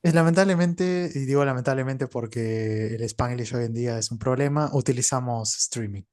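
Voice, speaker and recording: A man's voice: mid-range voice, moderate pace, darkish tone, dry recording, studio quality. A man from Uruguay with a Rioplatense accent, a Spanish teacher who explains things in an easygoing way during a casual chat.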